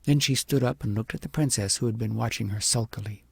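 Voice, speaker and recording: A man narrating an audiobook fairy tale expressively, with deliberate, dramatic emphasis. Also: mid-range voice, vocal fry, slightly rough, no echo, studio quality.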